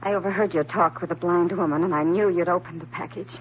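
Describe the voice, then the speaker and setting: A woman playing a frightened, naive and anxious woman in an old-time radio drama, speaking haltingly and earnestly. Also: on the low side, steady tone, lightly acted. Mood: anxious, confessing. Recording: dull, slightly noisy old radio recording.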